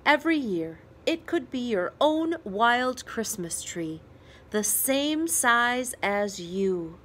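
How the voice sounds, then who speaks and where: A woman reads as a kind, amused storybook father who reasons gently with his child. The delivery is unhurried, with big swings of pitch, over a little background noise. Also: expressive. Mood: thoughtful, encouraging. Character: Peter's father, kind, thoughtful, amused man. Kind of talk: storybook read-aloud character voice.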